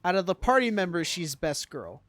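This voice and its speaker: A woman's voice: fairly low pitch, sing-song, a little fast, a bit bright. Young woman streamer, jokey and rambling. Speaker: game streamer. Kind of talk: casual live game commentary. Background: video game music.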